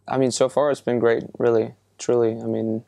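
A young man from Iceland talks through interview answers in a relaxed, hesitant way, with plenty of fillers and restarts. His voice is gravelly and dark, and his pitch stays flat and even. The sound is dry and close. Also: moderate pace, no echo. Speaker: musician being interviewed.

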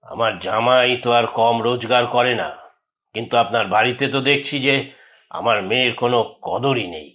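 A man's voice: mid-range voice, fairly quick, fairly bright tone, lightly acted. A man voicing a proud, self-important businessman father in a cartoon, who dotes on his daughter. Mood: reproachful. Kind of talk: Bengali cartoon voice acting.

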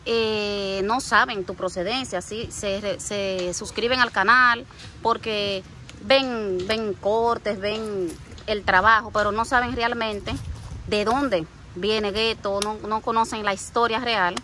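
A woman hosting a casual interview, lively, teasing, and speaking straight to the viewers. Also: mid-range voice, takes it slowly, slight background noise. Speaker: interviewer, host.

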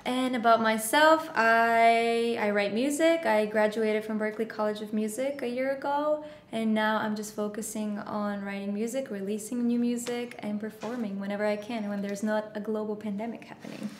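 A woman's voice: fairly high pitch, fairly even intonation, normal speed, few pauses, reverberant. A young woman in her twenties from Bulgaria, chatting upbeat and casually in an interview, with lots of "like" and "uh" fillers. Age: 20s. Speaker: singer-songwriter guest. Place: small bright studio room. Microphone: studio condenser mic on stand.

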